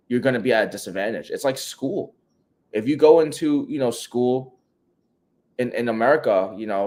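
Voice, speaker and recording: Male co-host, New York accent, low-ish, blunt and slangy. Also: darkish tone. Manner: blunt, emphatic, slangy with "yo" and "bro". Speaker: co-host of a live coaching Q&A. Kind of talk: casual live-stream chat.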